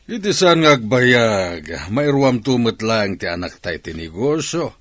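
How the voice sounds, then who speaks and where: An actor playing a self-satisfied middle-aged father with a business mind in a radio drama, raspy, with an Ilocano Filipino accent. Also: medium pitch, big pitch swings, measured pace, a bit bright, no echo. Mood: pleased, confident.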